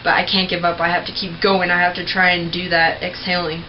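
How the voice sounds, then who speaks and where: A young woman giving a casual, rambling tutorial on screaming technique, clearing her throat often. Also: on the low side, level tone, brisk. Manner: chatty, rambling, with many filler words and throat clearing. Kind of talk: casual home tutorial video. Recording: dull lo-fi home recording with faint noise.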